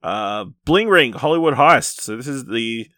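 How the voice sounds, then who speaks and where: A man co-hosting a podcast, talking about films in a casual, halting, conversational way. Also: medium pitch, lively intonation, sharp tone, dry recording, clean studio sound. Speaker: podcast co-host reviewing films and series. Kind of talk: casual unscripted film chat.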